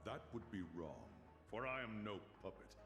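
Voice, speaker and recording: A man's voice: fairly low pitch, sing-song, normal speed. An actor playing a solemn, formal middle-aged master swordsman in a video game. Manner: formal, grave. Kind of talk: video game cutscene voice acting. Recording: long hall reverb, music behind.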